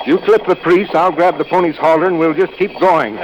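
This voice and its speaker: A man's voice: a little high, fairly even intonation, fairly quick, muffled recording, lightly acted. Man acting a tough American radio adventurer, noisy recording. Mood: urgent, firm. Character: tough, decisive American male adventurer, coolly in command.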